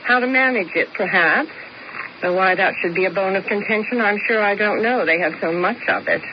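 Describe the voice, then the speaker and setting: A woman's voice: medium pitch, fairly quick, close to an everyday voice. An actress playing a coolly scheming, refined woman in a 1970s radio mystery, with arch, precise, theatrical phrasing. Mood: dryly exasperated. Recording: muffled, hissy old radio recording.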